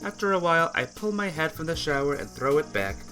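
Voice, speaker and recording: A playthrough host reading a visual novel aloud as expressive, dramatic scripted narration. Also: medium pitch, fast talker, soft background music. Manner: dramatic reading, acts out dialogue.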